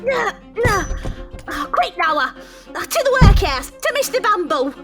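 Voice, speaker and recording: A woman playing a bossy, easily flustered undertaker's wife in an audio drama, excitable and scolding. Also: extremely high pitch, measured pace, over music, full character voice. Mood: panicked.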